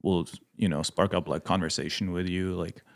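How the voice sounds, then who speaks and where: Man, 30s, Swedish accent, deep voice, gravelly, relaxed chatty interview guest. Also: moderate pace. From Sweden. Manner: relaxed, many fillers like "like" and "you know". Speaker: guest, missionary pilot. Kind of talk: casual conversational interview.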